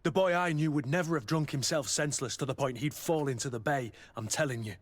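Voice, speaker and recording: An actor playing a hardened, protective army captain in a video game, talking in a terse, blunt way. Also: male voice, medium pitch, fast talker, natural voice. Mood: blunt, insistent. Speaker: soldier, captain. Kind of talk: dramatic video game acting.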